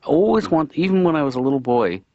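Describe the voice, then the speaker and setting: A man voicing an earnest, defensive middle-aged father in a cartoon, speaking haltingly in a conversational, improvised style. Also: fairly high pitch, animated, darkish tone, no echo, highs cut off, lightly acted. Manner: halting, stammering, mild-mannered.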